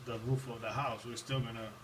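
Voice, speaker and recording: A man's voice: mid-range voice, flat delivery, fairly quick. A man from Puerto Rico talking casually as an amateur radio operator, repeating phrases as he explains. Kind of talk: casual ham radio conversation. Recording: distant, noisy room capture.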